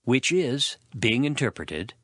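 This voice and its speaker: A man's voice: mid-range voice, sing-song, slow, no room sound, studio quality. A man narrating scripture as a dramatized story, in a dramatic storytelling manner. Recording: loud music behind the voice. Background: music.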